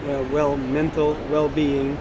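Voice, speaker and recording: A man from Portugal with a Portuguese accent, explaining things as a dermatologist being interviewed, often pausing mid-sentence. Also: fairly high pitch, monotone. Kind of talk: conversational interview answers. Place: congress exhibition floor. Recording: loud busy congress-floor noise.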